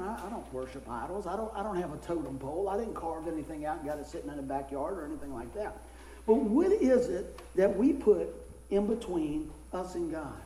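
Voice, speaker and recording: Hoarse preacher guy, kinda high voice, folksy and animated, echoey church. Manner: folksy, animated storytelling with rising pitch in anecdotes. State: hoarse, losing his voice. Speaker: pastor. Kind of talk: casual sermon.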